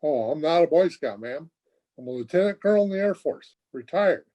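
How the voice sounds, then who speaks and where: Male actor as young airman, polite, earnest, wordy, lightish pitch, mellow tone, old-time radio comedy. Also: lively intonation, a little fast, muffled recording, close to an everyday voice. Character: earnest, polite, boyish twenty-year-old Air Force officer.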